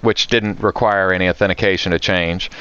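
A man's voice: low voice, steady tone, fairly quick, fairly bright tone, smooth, highs cut off. An American man chatting casually on a podcast as a co-host, telling anecdotes in a conversational way. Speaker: podcast co-host, IT security professional.

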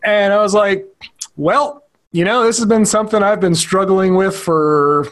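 Male co-host, slightly high pitch, loose, rambling delivery, dry humor. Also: measured pace, a bit bright. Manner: rambling, many fillers, dry jokes. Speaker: podcast co-host, yoga teacher. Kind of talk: casual chat.

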